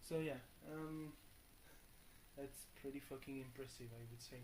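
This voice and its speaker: A young man from France with a booming voice, rambling through a casual vlog from his bedroom, full of fillers and restarts, swearing now and then. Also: fairly even intonation, a little slow, a little mellow, a little hiss or hum, poor audio. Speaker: vlogger. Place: small bedroom with reverb.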